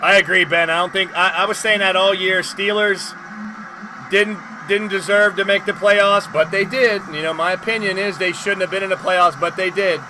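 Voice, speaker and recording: High-pitched man calling live sports, noisy recording. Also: moderate pace. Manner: conversational, excitable on big plays. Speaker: livestream host and play-by-play commentator. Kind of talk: live sports play-by-play mixed with casual chat to viewers.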